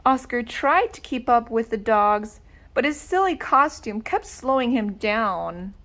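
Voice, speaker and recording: A woman narrates a children's storybook in a high, very animated voice. She reads slowly and deliberately, with dramatic expression. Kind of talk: animated read-aloud narration.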